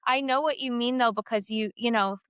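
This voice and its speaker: Female musician, bright and smooth voice, dry close mic, relaxed chatty delivery. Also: on the high side, fairly quick, no room sound. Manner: laughs easily, trailing sentences. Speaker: musician guest. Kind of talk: casual conversation.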